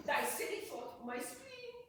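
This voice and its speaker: A woman playing a pompous, florid, lovesick gentleman in a theatrical Shakespearean comedy reading. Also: high voice, full character voice. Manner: theatrical, grandiloquent, drawn-out delivery. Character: pompous, florid, lovesick male master, easily tickled. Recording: echoey hall, distant mic, faint noise.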